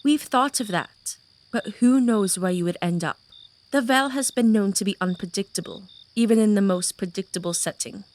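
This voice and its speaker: A woman's voice: medium pitch, normal speed, darkish tone, natural voice. Agitated, commanding fantasy noblewoman, played by an actress. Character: agitated noblewoman plotting to reach another realm. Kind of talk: audio drama acting.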